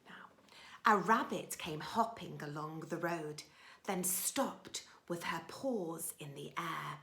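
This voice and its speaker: A woman's voice: low-pitched, slow, vocal fry, bright, forceful tone. A woman teacher reading a storybook expressively to young children, adding simple little asides. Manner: simple, child-directed phrasing.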